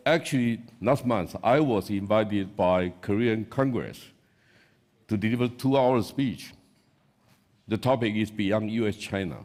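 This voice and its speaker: A man's voice: fairly low pitch, a little mellow. A man from Taiwan with a Taiwanese accent, giving an unscripted conference talk as an analyst, in short emphatic non-native English phrases, recorded in an echoey hall. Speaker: industry analyst, panel speaker.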